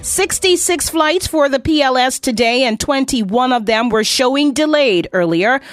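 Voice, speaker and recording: Woman, news anchor, higher-pitched voice, scripted delivery, close dry recording. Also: expressive, moderate pace, dry recording, soft background music.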